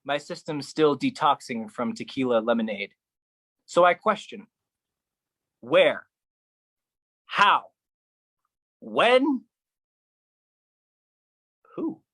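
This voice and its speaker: Slow, theatrical solo monologue performer. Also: sing-song, a bit bright, no echo, studio quality. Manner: theatrical, intense, swinging between low musing and raised outbursts. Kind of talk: dramatic spoken-word monologue performance.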